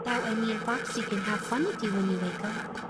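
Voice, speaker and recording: A woman's voice: medium pitch, fairly quick, loud music behind the voice, lightly acted. A woman voicing a playful, menacing animatronic fox girl in a character audio roleplay, with a teasing, sing-song, giggly manner. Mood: coaxing.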